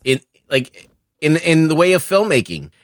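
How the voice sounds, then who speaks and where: A man discussing films casually as a podcast panelist, haltingly repeating words as he reasons. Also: a little high, sing-song, no echo, clean studio sound.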